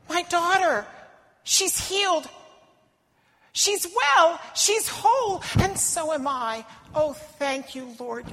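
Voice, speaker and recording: A woman's voice: medium pitch, pushed and bright, in a large hall. A woman acting out a desperate foreign mother who pleads for her sick daughter, telling her story earnestly in the first person. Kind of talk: dramatic first-person monologue in church.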